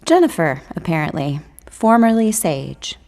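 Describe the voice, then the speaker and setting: Composed, coy wife. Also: female voice, fairly low pitch, big pitch swings, a little slow, a little mellow. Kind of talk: audio drama acting.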